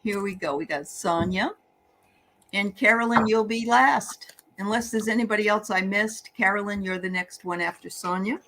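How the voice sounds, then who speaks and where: A woman's voice: medium pitch, moderate pace, a bit bright, slight background noise. A woman from the Boston area with a Boston accent, hosting a casual livestream tarot reading in a chatty, upbeat way. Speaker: tarot reader and livestream host.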